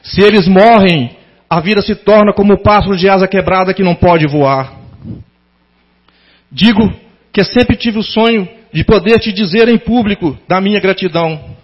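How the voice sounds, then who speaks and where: A middle-aged Brazilian man delivering a prepared public tribute in a formal, sentimental, deliberately phrased way. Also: high voice, husky. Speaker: former pupil giving a tribute to a teacher. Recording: dull lo-fi sound.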